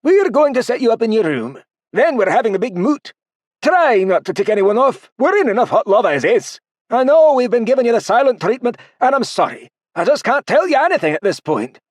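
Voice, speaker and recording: A man's voice: very high-pitched, very animated, fairly quick, a little mellow, dry recording, clean studio sound, voice pushed up, exaggerated voice. A man voicing a stocky, harried male dwarf leader who talks in a bossy, pleading, rustic way. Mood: urgent.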